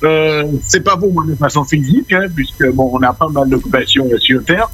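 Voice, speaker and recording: A man's voice: a little high, a little fast, a bit bright, husky, poor audio. A man, a guest on live radio, talking at length about local events with hesitant fillers. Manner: talkative, hesitant with fillers, promotional.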